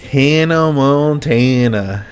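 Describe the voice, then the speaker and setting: A young man with a rough, gravelly-edged voice hosts a casual podcast at a middle pitch. He talks in a loose, laid-back way and swears a lot. Soft music plays faintly behind him. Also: takes it slowly. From Mexican heritage. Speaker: podcast host. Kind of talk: casual chat.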